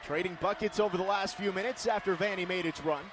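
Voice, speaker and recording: A man's voice: sits high, fast. A man calling live sports play-by-play in clipped, vivid phrases. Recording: noisy live game broadcast.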